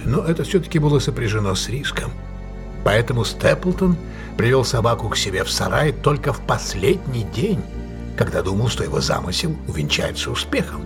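An actor playing a shrewd male detective who lays out his deductions emphatically and theatrically in an audio drama. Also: medium pitch. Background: prominent music.

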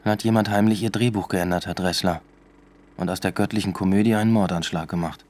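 An actor playing an inquisitive, attentive police detective in a radio drama, with a questioning manner. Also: male voice, deep voice, steady tone, a little fast, a little mellow. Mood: probing. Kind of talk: naturalistic radio drama acting.

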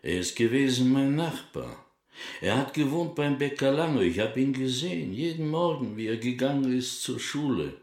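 A man's voice: medium pitch, animated, normal speed, darkish tone, echoey room, natural voice. An actor in a radio drama playing a blunt, distrustful middle-aged shopkeeper and father with a Yiddish accent, in terse, inverted phrases. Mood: guarded. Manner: terse, inverted syntax, answering questions with questions.

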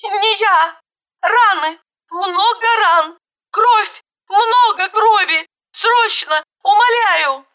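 A woman voicing a frantic, sharp-tongued woman in an audiobook, shrieking and indignant. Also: very high voice, extremely slow, highs cut off, pitched above the performer's own voice, exaggerated voice. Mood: panicked.

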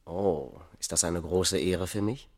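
An actor playing a scholar's sceptical friend, formal and probing, in a German radio play. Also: male voice, deep voice, measured pace, darkish tone. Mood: wry, curious.

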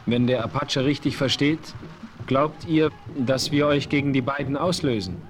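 An actor playing a dignified Apache chief in a radio play, speaking formally and referring to himself in the third person. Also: male voice, medium pitch, moderate pace, faint music behind, lightly acted. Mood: questioning. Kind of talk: 1970s radio play acting.

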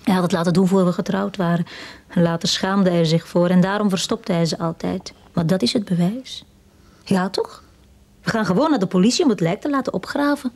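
A woman's voice: fairly low pitch, brisk, darkish tone. A woman acting an anxious, insistent wife who seeks help in a radio play. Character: anxious, insistent wife convinced her husband is alive. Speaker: actor in a radio drama.

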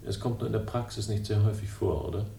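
An actor playing a weary, wry sleuth who narrates a 1960s German radio crime drama in a laconic, dryly sardonic way. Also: male voice, on the low side, fast, vocal fry, dark tone, slight background noise. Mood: guarded.

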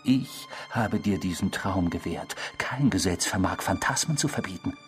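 An actor in a radio drama playing a sardonic, tormenting inner voice, with a husky, mocking and theatrical delivery. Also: male voice, low voice, expressive, normal speed, faint music behind. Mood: coolly taunting. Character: sardonic tormenting inner voice claiming to be a man's destructive self.

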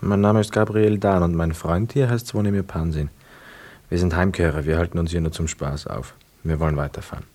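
An actor playing a reflective, wary man back from war, narrating a German radio play in measured literary phrases. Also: sits low, steady tone, a little mellow. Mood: reserved. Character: young war returnee, reflective, wary narrator of hotel life.

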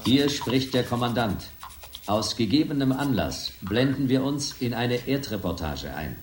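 A man's voice: mid-range voice, expressive, measured pace, roomy sound, natural voice. A man playing an authoritative spaceship commander, speaking formally and with command in a radio drama. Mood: commanding, assured. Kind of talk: science fiction radio drama.

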